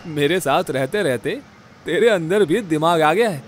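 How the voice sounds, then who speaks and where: A man's voice: fairly high pitch, expressive, moderate pace, a little hiss or hum, natural voice. A man playing an irritable, bossy ghost-case investigator who swears at his partner in a Hindi horror-comedy audio drama. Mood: earnest, planning. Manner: bossy, exasperated, foul-mouthed.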